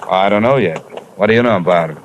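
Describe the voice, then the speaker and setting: A wry, hard-boiled investigator played by an actor narrates and trades dry quips in a vintage radio mystery. His voice sits fairly low. Steady broadcast hiss runs underneath. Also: fast, close to an everyday voice, rough recording. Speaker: narrator and lead. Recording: hissy old radio broadcast.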